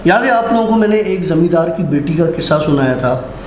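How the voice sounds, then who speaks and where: Higher-voiced man telling ghost stories. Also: lively intonation, fairly quick, a little mellow. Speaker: horror storyteller on a podcast. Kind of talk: casual first-person ghost storytelling. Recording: roomy echo, faint background noise.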